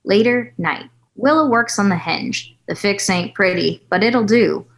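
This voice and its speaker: A woman's voice: mid-range voice, unhurried, fairly bright tone. A woman reading stage directions as narrator at a screenplay table read, in composed, literary storytelling style.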